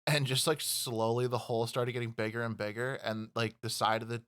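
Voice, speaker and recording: Casual podcast co-host, a man of middle pitch, rambling and profane. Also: level tone, brisk, a bit bright. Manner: rambling, swears a lot, laughs often. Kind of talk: casual chat.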